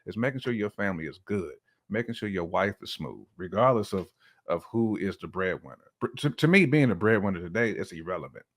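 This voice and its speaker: A man's voice: deep voice, animated, a little fast. A man giving his opinions in casual conversation as a podcast guest, earnest and emphatic with informal phrasing.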